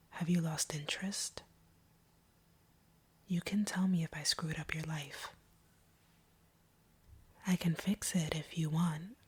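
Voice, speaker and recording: A woman playing a guarded, wounded vampire in an intimate audio roleplay, speaking softly and haltingly in a breathy near-whisper. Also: sits low. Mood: concerned, guarded. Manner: slow, hesitant, halting delivery. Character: guarded, wounded female vampire confessing feelings.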